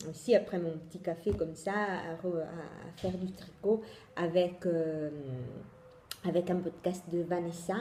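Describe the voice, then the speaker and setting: Slow, hesitant Italian woman in echoey room. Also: mid-range voice, warm tone, slight background noise. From Italy. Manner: hesitant, many fillers and restarts. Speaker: knitting podcast host. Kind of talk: casual video podcast chat. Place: home in Italy.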